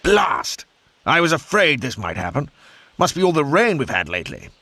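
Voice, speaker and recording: An actor playing a genial British senior officer in a video game, with a British accent and hearty, old-fashioned military politeness. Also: male voice, a little high, huge pitch swings, moderate pace, bright, forceful tone, heavily acted. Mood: dismayed. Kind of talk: video game cutscene acting.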